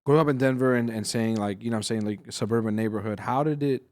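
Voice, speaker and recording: A man hosting a casual podcast interview. He asks his questions in a loose, relaxed and slangy way. His pitch is ordinary for a man. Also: quick pace. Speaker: host.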